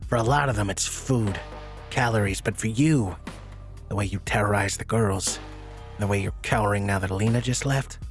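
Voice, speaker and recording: A man's voice: lowish voice, soft background music, close to an everyday voice. Man playing a tough, dangerous man. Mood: cool taunting. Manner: measured, taunting. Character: tough, cool, dangerous man who feigns helplessness. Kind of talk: audio drama acting.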